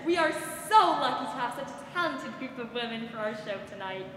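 A bright, high-voiced 1940s radio hostess acted by a young woman, gracious and upbeat. Her announcing is folksy and polished, with strong hall echo and faint noise. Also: lively intonation, fairly quick. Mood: welcoming, upbeat. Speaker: radio show host. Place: school theatre stage, indoors. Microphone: stand microphone.

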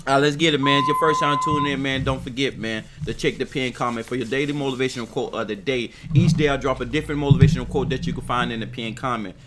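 A man's voice: medium pitch, fairly even intonation, quick pace, few pauses, very noisy. Hype man hosting a reaction channel. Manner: hype, repeats "man" often. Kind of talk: casual reaction chat. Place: home streaming room with purple lights. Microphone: desk condenser mic, headphones on.

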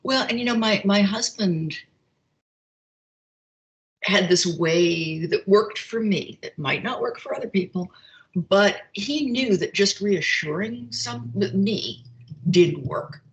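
A woman's voice: medium pitch, expressive, measured pace, rough recording. A middle-aged woman telling candid personal stories conversationally as a guest on a video call. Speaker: guest speaker. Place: open-plan kitchen and living room at home. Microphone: video call, computer microphone.

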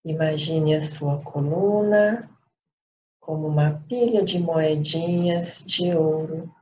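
Woman, Brazilian accent, meditation teacher, lowish voice, calm short phrases, long pauses, dull lo-fi recording. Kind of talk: guided meditation instruction. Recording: dull lo-fi sound with light background noise.

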